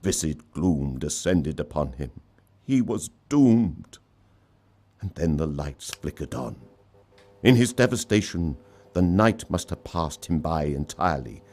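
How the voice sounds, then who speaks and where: A man reading a story aloud in an expressive, theatrical storybook style. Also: extremely low pitch, unhurried. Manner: theatrical, dramatic delivery. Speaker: story reader.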